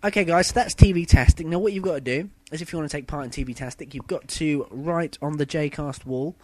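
A young man hosting a casual podcast, a bit husky from a sore throat, chatty and rambling. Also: a little high, a little fast, no room sound. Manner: chatty, loose and rambling, with fillers and self-corrections. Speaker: podcast host. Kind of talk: casual solo podcast chat.

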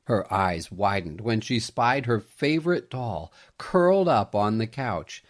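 A man reading a story aloud as scripted narration, with an expressive, dramatic storytelling delivery. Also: fairly low pitch, measured pace.

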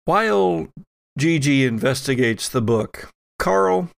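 A man narrating a horror tabletop game as game master, in a measured, descriptive storytelling manner. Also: fairly high pitch, lively intonation, gravelly, no room sound, studio quality.

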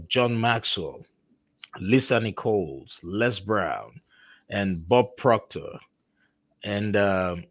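A man speaks with a Nigerian accent, hosting a conversational interview show. His voice sits a little low, and he talks effusively, pausing often on fillers as he goes. The sound is dry and close. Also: no room sound. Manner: effusive, halting with frequent fillers. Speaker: podcast host.

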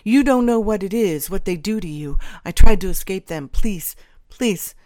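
A woman's voice: lowish voice, slow pace, no room sound. A woman voicing a craven old male traitor in an audiobook, whining and pleading frantically in a weak voice. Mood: desperate pleading. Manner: frantic pleading with repeated phrases.

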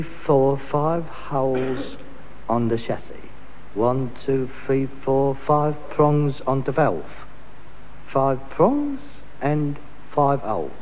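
Pompous touchy london son in an old sitcom, highish voice, muffled echoey recording. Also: male voice, slight background noise. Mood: exasperated, muttering. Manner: pompous, blustering delivery. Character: pretentious, defensive, easily exasperated grown son in a sitcom. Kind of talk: vintage British sitcom acting.

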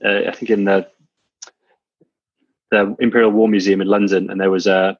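Guest, male, thirties, from Wales, low husky voice, dull sound, relaxed and modest. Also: moderate pace, dry recording. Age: 30s. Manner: easygoing, laid-back, self-deprecating. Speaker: guest, coach and entrepreneur. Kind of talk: casual interview chat.

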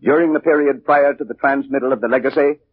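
Stiff, formal attorney, male actor, middle-pitched husky voice, muffled old radio drama. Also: steady tone, fast, lightly acted. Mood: solemn, formal. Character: formal, firm male lawyer reading a will. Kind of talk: 1940s radio thriller acting. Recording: muffled, narrowband 1940s radio recording.

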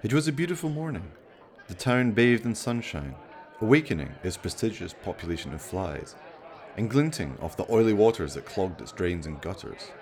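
A man's voice: fairly low pitch, very animated, normal speed, a little mellow, a faint crowd. A man voicing a florid, sardonic television reporter in a radio play, telling an ominous tale as mock documentary.

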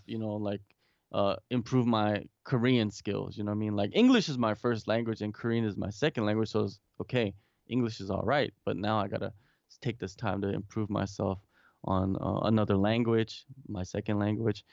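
A man's voice: lowish voice, vocal fry, darkish tone, no room sound, lo-fi sound. A man talking from home in a casual remote interview, rambling with many restarts and fillers. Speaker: guest, MMA interviewer and English teacher.

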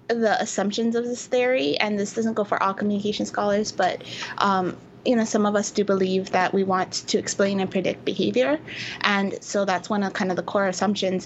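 A young woman from Hawaii, a communication researcher, explaining her work in an interview with many pauses and ums. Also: medium pitch, highs cut off, a little hiss or hum. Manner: hesitant, many ums, thoughtful. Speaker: guest researcher. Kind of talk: academic interview.

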